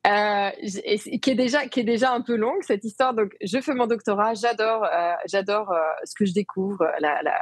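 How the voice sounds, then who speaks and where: A woman's voice: medium pitch, moderate pace, slightly rough, no room sound. A woman from France with a French accent, telling her story as an interview guest with many fillers and restarts. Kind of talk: conversational interview.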